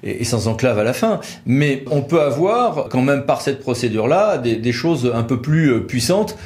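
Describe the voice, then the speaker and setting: Male legal expert, medium pitch, informal explainer discussion with colloquial turns of phrase. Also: very animated, normal speed, darkish tone. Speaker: lawyer explaining procedures.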